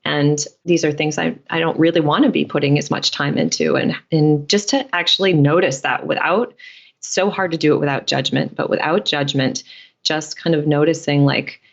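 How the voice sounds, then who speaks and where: Woman guest on a podcast, low voice, explains stuff thoughtfully, audio kinda dull. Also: steady tone, moderate pace, vocal fry. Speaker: podcast guest, dietitian and author. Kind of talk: conversational interview answers.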